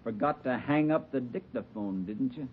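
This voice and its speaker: An actor playing a stubborn, threatening, slangy bomber in a 1940s radio crime drama. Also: male voice, mid-range voice, normal speed, close to an everyday voice. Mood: wary, smug. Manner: slangy, tough talk. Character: stubborn, threatening male bomber holding out for his jailed brother. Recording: old radio recording, phone-like, noisy, clicks.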